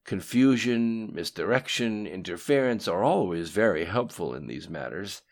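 Man voicing a cold, composed villainous henchman, raised pitch. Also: lively intonation, normal speed. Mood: businesslike. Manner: cool, deferential yet unafraid, matter-of-fact. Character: cold, composed male conspirator reporting to his dark master. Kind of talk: audiobook dialogue acting.

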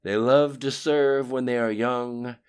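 A man voicing a menacing ancient being in an audiobook, gravelly, grandiose and contemptuous. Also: mid-range voice, steady tone, slow, pitched above the performer's own voice, natural voice. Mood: bitter. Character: menacing ancient male being speaking through a screen. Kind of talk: audiobook character dialogue.

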